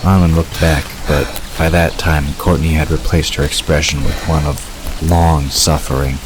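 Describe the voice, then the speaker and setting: A man's voice: very deep voice, flat delivery, moderate pace. A man reading scripted story narration for an audio drama. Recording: noisy, rain-like hiss behind.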